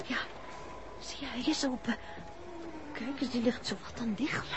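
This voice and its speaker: A woman's voice: on the high side, fairly even intonation, loud music behind the voice, lightly acted. A woman acting a detective's uneasy, observant wife in a 1960s Dutch radio drama, speaking hesitantly. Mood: hushed, uneasy. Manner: slow, hesitant, repeating words. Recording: noisy old 1960s radio recording.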